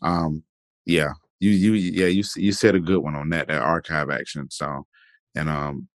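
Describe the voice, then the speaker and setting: A man's voice: very deep voice, steady tone, moderate pace, no room sound, clean studio sound. A man hosting a podcast interview, talking loosely with lots of fillers and restarts. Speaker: podcast host. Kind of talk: casual interview chat.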